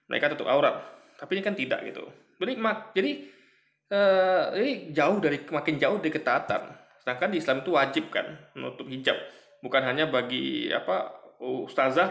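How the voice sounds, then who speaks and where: A man giving a halting, filler-filled religious commentary on a video he is reacting to, often repeating himself and cutting in. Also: a little high, creaky, a bit bright. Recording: roomy echo.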